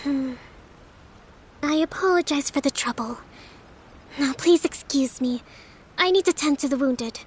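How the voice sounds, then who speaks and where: A woman playing a gentle young priestess who leads her people in a fantasy game, speaking in a measured, thoughtful, polite way. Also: high-pitched. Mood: gentle, resolved. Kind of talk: scripted fantasy game acting.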